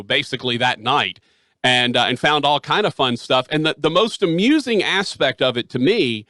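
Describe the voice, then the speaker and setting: A man's voice: mid-range voice, sharp tone, dry recording. A middle-aged man hosting a sports talk radio show, chatting casually in an interview, close on the mic in a small studio. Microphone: headset or studio mic, close up.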